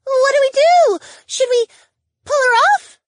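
A woman voicing an excitable young cat girl in an audiobook, with squeaky, bubbly exclamations. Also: a little slow, no echo, studio quality, exaggerated voice. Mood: alarmed. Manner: squeaky, shrieking, breathless exclamations. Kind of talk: audiobook character acting.

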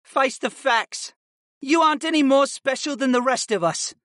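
Casting: small bitter underdog boy student man performing, very high voice, biting and venomous, fantasy audiobook. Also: normal speed, fairly bright tone, no echo, clean studio sound. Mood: angry, venomous. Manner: spitting, biting. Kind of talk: audiobook character acting.

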